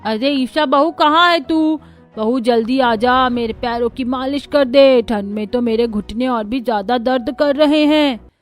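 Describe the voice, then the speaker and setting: A woman plays an elderly cartoon grandmother with a fairly high voice. She is homely and bossy, giving instructions to her family over faint background music. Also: measured pace. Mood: calling, grateful. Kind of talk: Hindi cartoon story acting.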